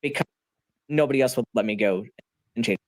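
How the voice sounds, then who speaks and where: A man on a call-in show explains in an earnest, searching way, asking himself questions as he goes. His voice sits at an ordinary pitch. The recording is dry and close. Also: a little fast, dry recording. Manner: earnest, thinking aloud with questions.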